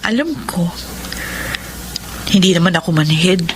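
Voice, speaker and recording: A woman's voice: fairly low pitch, lively intonation, a little slow, very noisy. An actress playing a steadfast young woman in love in a Filipino radio drama, earnest and resolute. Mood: earnest, tender.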